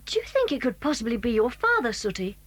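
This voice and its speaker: A woman's voice: a little high, sing-song, fast, a bit bright, no echo. A British woman playing a keen, bold schoolboy in a children's audio drama. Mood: excited, curious.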